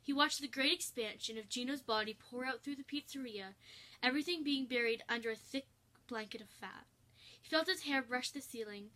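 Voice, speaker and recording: A woman's voice: a little high, bright, forceful tone, rough recording. A woman narrating a piece of comic fan fiction, reading aloud as a storyteller.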